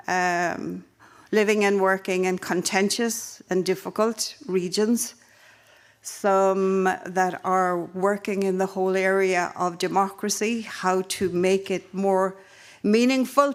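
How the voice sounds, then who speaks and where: A woman with an Irish accent moderating a conference panel, measured and steady, with frequent fillers and restarts. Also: medium pitch, smooth. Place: conference hall.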